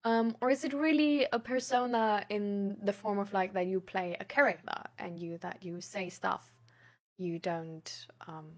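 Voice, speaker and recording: A woman's voice: medium pitch, steady tone, unhurried. A woman livestreamer chatting casually with her viewers in a hesitant, rambling way that often trails off. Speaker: live streamer.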